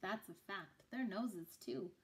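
A woman's voice: mid-range voice, takes it slowly, creaky. A woman voicing a courtly, easygoing man in a storybook, polite and folksy.

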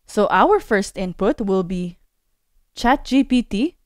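A woman narrating a scripted screen-recorded tutorial as a course instructor, explaining step by step in a clear way. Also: mid-range voice, expressive, slow pace, no room sound, clean studio sound.

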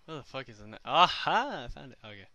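A man's voice: medium pitch, very animated, fast, sharp tone. A young man performing short amateur script takes, bluntly swearing and restarting when he fumbles. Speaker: amateur voice actor.

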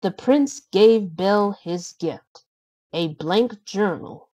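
A woman narrating a children's storybook in an expressive, deliberate read-aloud manner. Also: mid-range voice, no room sound.

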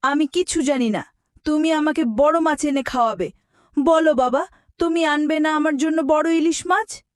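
Woman voicing a high-pitched, wheedling little village girl. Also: normal speed, no echo, studio quality, lightly acted. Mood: insistent pleading. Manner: wheedling, childlike. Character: pleading, stubborn little village girl, spoiled daughter. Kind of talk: cartoon voice acting.

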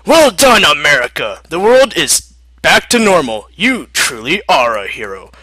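A man's voice: a little high, very animated, a little slow, pushed and bright, dry recording, exaggerated voice. A man voicing a puzzled, earnest alien mentor in a comic story. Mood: congratulating.

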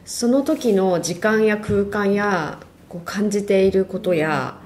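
A woman co-hosting a casual radio chat, telling stories gently with many small fillers. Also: mid-range voice, slow pace. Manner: gentle, soft-spoken storytelling with frequent fillers. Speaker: co-host.

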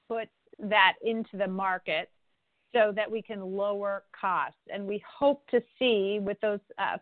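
A congresswoman hosting a telephone town hall, answering questions in a conversational way. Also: mid-range voice. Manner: conversational, with frequent restarts and fillers. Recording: dry, tinny phone line.

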